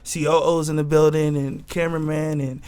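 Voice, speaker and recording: A man's voice: fairly high pitch, flat delivery, fairly quick, a little mellow, clean tone. A man in his twenties from Southern California, a record label head talking in a loose, laid-back way with lots of slang and fillers. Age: 20s. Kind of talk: casual interview talk.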